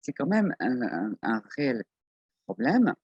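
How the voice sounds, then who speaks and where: A man moderating a conference panel, speaking slowly and haltingly with drawn-out fillers. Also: mid-range voice, very animated, darkish tone, breathy edge, dry recording, dull audio.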